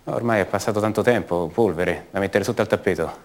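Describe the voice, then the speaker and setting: An actor playing the brooding adult son of a disappeared woman, speaking haltingly and intimately in a radio theatre monologue. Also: lowish voice, natural voice. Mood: bitter, ironic. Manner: halting, repeats words, intimate.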